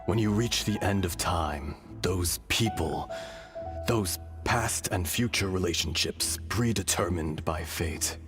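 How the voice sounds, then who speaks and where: A deep, slow, ancient dragon spirit. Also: male voice. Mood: grave, fatalistic. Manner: solemn, archaic, deliberate. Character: ancient male elemental dragon spirit, grave and fatalistic. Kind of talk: scripted video game dialogue. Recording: game audio with music behind. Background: background music.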